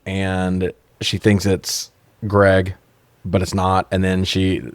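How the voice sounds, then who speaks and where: A man's voice: low-pitched, completely flat delivery, slow, clear, resonant tone, dry recording. A man in his forties co-hosting a casual comedic review show, talking in a profane, sarcastic way. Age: 40s. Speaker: podcast co-host reviewing films. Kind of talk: casual comedic recap chat.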